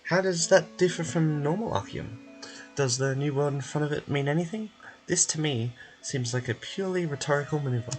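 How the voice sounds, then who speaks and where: A man voicing a sharp, persistent female reporter from a video game, asking formal, pointed questions. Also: on the high side, brisk, faint music behind, natural voice, low-quality recording. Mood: dismissive.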